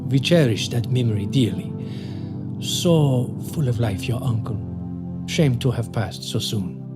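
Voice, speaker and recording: A man's voice: medium pitch, expressive, lightly acted. Man acting courteous German lawyer over music. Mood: polite, nostalgic. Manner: formal, halting word searches. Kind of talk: audio drama acting.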